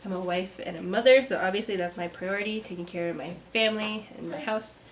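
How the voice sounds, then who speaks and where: A young woman, a mother and artist, talking to camera from a script in a soft, reflective vlog; she sounds stuffy and congested. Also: medium pitch, fast talker, background noise. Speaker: vlogger, artist. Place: home. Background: kids playing.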